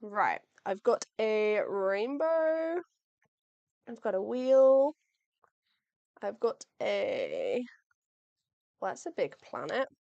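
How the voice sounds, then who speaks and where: Chatty young woman, voice going hoarse. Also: fairly high pitch, a little mellow, no room sound, dull audio. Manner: chatty, thinks aloud, friendly and apologetic. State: losing her voice. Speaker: artist live-streaming shop orders. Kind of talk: casual livestream chat. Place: home workspace, packing orders.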